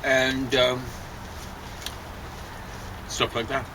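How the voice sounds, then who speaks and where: A man's voice: mid-range voice, level tone, drawn-out words. A man giving his opinions in casual chat outdoors, with a sardonic, grumbling manner. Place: outdoors on a deck. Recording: distant from mic, clear outdoor traffic noise.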